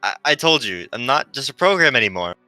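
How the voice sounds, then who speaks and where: A man voicing a gentle, earnest female android ship pilot in formal, precise speech for a visual novel read-aloud. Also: mid-range voice, sing-song, a little fast, no room sound, soft background music, voice pushed up. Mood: flustered.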